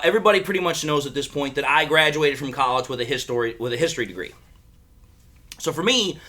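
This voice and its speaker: A man hosts a sports fan show, talking casually and repeating himself as he addresses his viewers. His voice sits fairly high and is bright and forceful, with a slight crackle from a cold. His delivery moves up and down with a lot of life. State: under the weather, slightly crackly voice. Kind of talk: casual sports analysis talk.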